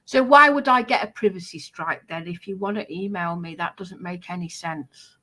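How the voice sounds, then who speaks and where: A woman with a fairly low voice and a sharp, forceful edge. She speaks informally, in the manner of someone making a complaint. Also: moderate pace.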